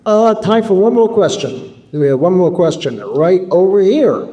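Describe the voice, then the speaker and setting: A man moderating a convention Q&A, briskly calling on audience members. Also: sits high, animated, warm tone, highs cut off. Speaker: panel moderator. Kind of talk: moderating a live Q&A. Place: convention panel room.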